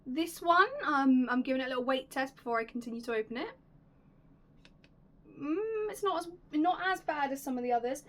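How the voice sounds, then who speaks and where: A young woman hosting a casual unboxing vlog, chatty and enthusiastic, her voice slightly raspy and going hoarse. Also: high-pitched, animated, brisk. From United Kingdom. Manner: chatty, enthusiastic, drops to soft whispers at times. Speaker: YouTube host.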